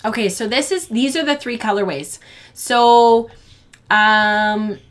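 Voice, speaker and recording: A woman hosts a live fiber arts stream, talking casually to her viewers. She rambles a little, with frequent ums and false starts. Her voice sounds tired and a bit hoarse. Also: on the high side, takes it slowly. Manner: chatty, rambling, lots of ums and restarts. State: losing her voice. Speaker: podcast host of a fiber arts show.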